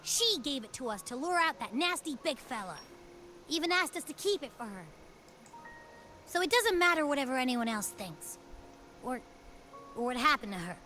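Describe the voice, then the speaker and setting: High, animated, chatty young girl companion. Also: moderate pace, pauses often, soft background music, exaggerated voice. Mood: insistent, earnest. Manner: casual, slangy, chatty, expressive. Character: peppy, loyal young girl companion, casual and talkative. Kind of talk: video game story dialogue.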